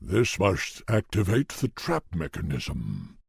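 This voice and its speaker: Casting: old, huge, wise tauren elder for fantasy game. Solemn, rumbling, husky, with wide expressive swings. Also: male voice, slow pace, dry recording, studio quality, heavily acted. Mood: solemn awe. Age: older. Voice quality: deep, gravelly, weighty. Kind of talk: fantasy video game acting.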